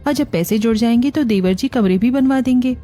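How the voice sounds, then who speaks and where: A woman's voice: fairly high pitch, fairly quick, dark tone, lightly acted. A woman with an Indian accent voicing a patient, practical eldest daughter-in-law who keeps the peace in a village family cartoon. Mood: confident, practical. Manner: soothing, reassuring, practical.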